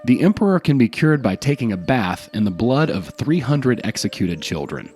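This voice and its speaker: A man voicing a grave, reluctant Sufi healer in a folk tale, solemn and deliberate. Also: medium pitch, sing-song, darkish tone, soft background music, natural voice.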